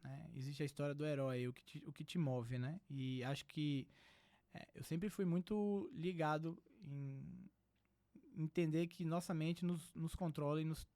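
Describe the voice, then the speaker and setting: A man's voice: a little high, fairly even intonation, unhurried, dark tone, no room sound. A young adult man from Brazil, a psychiatrist guest on a podcast, talking in an earnest, reflective way and often adding tag questions. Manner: earnest, reflective, many filler "né". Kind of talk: casual podcast conversation.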